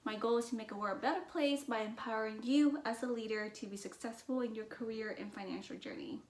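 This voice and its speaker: A woman's voice: fairly high pitch, quick pace. A woman from Vietnam with as her talks earnestly and reflectively to her channel, with many fillers and restarts. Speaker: business and mindset vlogger. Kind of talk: personal talk to camera. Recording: roomy echo.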